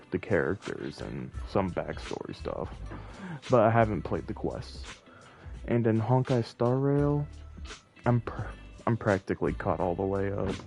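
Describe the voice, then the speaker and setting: A man with a fairly low, soft-edged, mellow voice chats casually to his livestream. He takes his time and rambles as he thinks aloud. Game music plays behind him. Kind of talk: casual livestream chat while playing.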